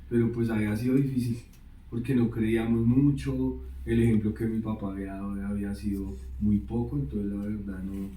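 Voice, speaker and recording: A man sharing a personal testimony as a guest, halting and full of fillers. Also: on the low side, warm tone. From Colombia. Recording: roomy, distant mic, faint background noise.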